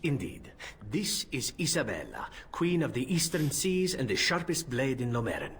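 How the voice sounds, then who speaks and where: Man playing a suave rogue, swinging, animated pitch. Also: normal speed, natural voice. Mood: wry, amused. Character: suave, roguish male adventurer, amused and courteous. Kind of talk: video game voice acting.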